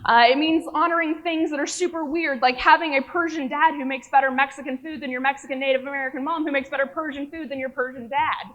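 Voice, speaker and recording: A woman's voice: high-pitched, steady tone, quick pace, few pauses, fairly bright tone, lo-fi sound. A young woman from Oklahoma giving a rehearsed personal talk on stage, with wry, self-mocking humor. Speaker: speaker at a live event. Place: stage before an audience.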